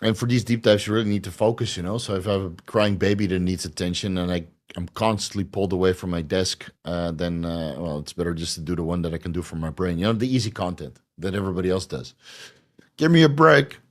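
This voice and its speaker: Hoarse guy answering viewer questions, swears a lot, jokey and blunt, kinda low voice. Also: fast talker. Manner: blunt, profane, joking. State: hoarse, losing his voice. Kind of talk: casual live Q&A.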